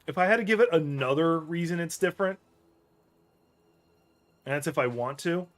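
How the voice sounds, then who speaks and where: A man's voice: a little high, lively intonation, moderate pace, fairly bright tone. A man streaming an action game, giving loose, rambling commentary as he plays. He often corrects himself mid-sentence and chats to his viewers. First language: English. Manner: rambling, self-correcting, talks to chat as he plays. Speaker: gaming streamer. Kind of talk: casual let's-play commentary while playing.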